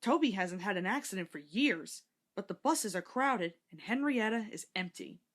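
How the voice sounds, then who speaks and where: A woman's voice: medium pitch, lively intonation, normal speed, a bit bright. A woman reading a scripted children's story aloud as narrator.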